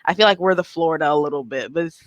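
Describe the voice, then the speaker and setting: Animated woman comedian, dry sound. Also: deep voice, rapid-fire, no echo, muffled recording. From Haiti. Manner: animated, joking, lots of fillers like "um". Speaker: guest, stand-up comedian. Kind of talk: casual interview chat.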